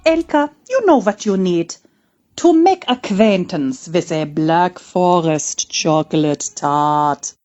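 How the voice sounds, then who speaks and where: A woman's voice: on the low side, animated, takes it slowly, darkish tone, muffled recording, faint music behind. A woman playing a scheming, bawdy nurse villain in disguise in a comedic audio drama, with campy melodrama. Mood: conspiratorial, bawdy.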